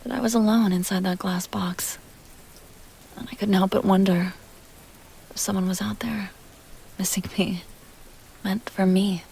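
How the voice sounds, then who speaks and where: A woman's voice: medium pitch, steady tone, a little mellow, slight background noise, low-quality recording. A woman playing an ageless vampire heiress, teasing, tender and wistful, in an intimate, half-whispered ASMR roleplay. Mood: nostalgic, tender. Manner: breathy, often whispering, unhurried, coaxing.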